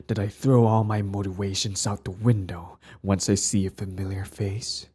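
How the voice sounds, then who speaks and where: A man playing a cold, sardonic villain with secret tenderness in an intimate ASMR roleplay, his voice low, husky and breathy. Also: steady tone, darkish tone. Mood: mocking, sardonic. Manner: slow deliberate delivery, sardonic, condescendingly affectionate. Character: cold, mocking male villain, protective ex-hero, secretly tender.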